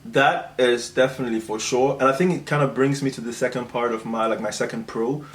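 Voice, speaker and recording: A man's voice: mid-range voice, a little fast. Young man in an echoey room. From Cameroon. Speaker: host of a video channel. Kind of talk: casual conversational discussion. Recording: echoey room with some background noise.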